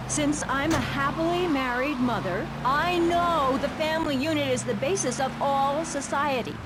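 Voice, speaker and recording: A woman's voice: high-pitched, normal speed, close to an everyday voice. An actress playing a judgmental, easily flustered suburban mother ranting on a satirical talk radio panel in a video game. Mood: brittle, preachy. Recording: loud street and car noise behind.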